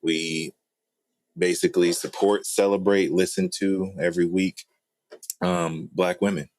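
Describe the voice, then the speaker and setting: A man with a low-pitched voice talks casually as a show guest. He sounds conversational and informal, and the recording is dry with almost no room sound. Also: level tone, a little slow, a little mellow, no echo. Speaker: guest, game studio founder. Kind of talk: casual chat.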